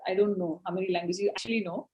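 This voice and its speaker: A woman's voice: medium pitch, steady tone, racing, warm tone. Hesitant woman interviewer, muffled sound. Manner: hesitant, searching phrasing with fillers. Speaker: film magazine editor and interviewer. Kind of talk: interview questions. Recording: dull lo-fi sound.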